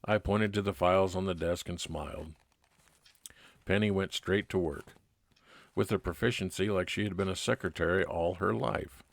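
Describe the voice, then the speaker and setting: A man playing a kindly, laconic 1950s private detective, narrating his case in old-time radio drama style with measured, plain-spoken delivery. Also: sits low, steady tone, creaky, no echo. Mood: reflective. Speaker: narrator and lead actor.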